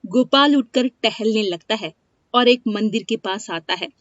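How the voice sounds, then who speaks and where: A woman's voice: mid-range voice, measured pace, dry recording. A woman reading a scripted moral story as its narrator. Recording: dry, dull lo-fi studio sound.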